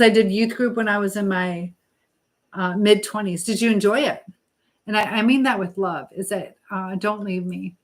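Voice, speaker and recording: A middle-aged woman hosting a casual live chat, reading out messages and giving motherly advice in a rambling, halting way. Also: medium pitch. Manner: motherly, advising. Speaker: live stream host.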